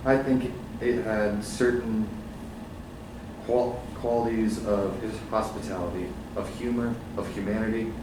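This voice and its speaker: A young male student carefully reading a passage aloud in class. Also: lowish voice. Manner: careful, measured reading with a small stumble. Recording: echoey classroom, distant mic, background noise.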